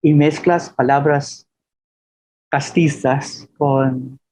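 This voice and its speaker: A man from the Philippines, giving an informal lecture as a professor and telling anecdotes in a halting, self-deprecating way. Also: fairly high pitch, steady tone, darkish tone, slightly rough, rough recording.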